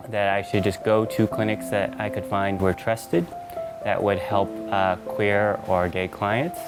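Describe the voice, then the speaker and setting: A man's voice: on the low side, steady tone, measured pace, over loud music. A man in his thirties from New City, a guest on a talk show, explaining things earnestly with frequent fillers. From New York City, USA. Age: 30s. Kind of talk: casual interview talk.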